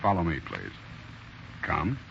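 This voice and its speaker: A man's voice: a bass voice, very animated, takes it slowly, vocal fry. A male radio host closing a mystery drama and addressing listeners in a genial, showman-like way. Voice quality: bright, genial, showman-like delivery. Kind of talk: scripted radio hosting. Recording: muffled old radio recording with faint hiss.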